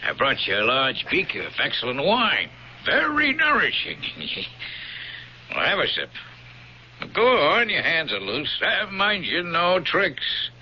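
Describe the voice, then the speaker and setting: An actor playing a gruff, mockingly jovial Roman centurion jailer in a radio drama, with a folksy, taunting manner. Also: male voice, on the high side, wildly swooping pitch, unhurried. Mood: jovial goading. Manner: taunting, folksy, swooping emphasis. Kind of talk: 1970s radio drama acting. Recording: dull lo-fi radio, faint noise.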